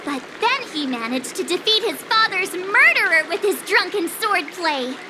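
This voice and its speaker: Bubbly, very high-pitched teenage girl. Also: normal speed, very noisy. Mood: playful, eager. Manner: perky, excitable, playful. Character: bubbly, cocky teenage girl learning swordplay. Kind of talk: anime-style video game voice acting. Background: game music.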